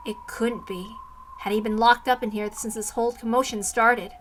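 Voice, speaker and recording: A woman's voice: a little high, steady tone, normal speed, slight background noise. A woman narrating a visual novel aloud as a dramatic story reader.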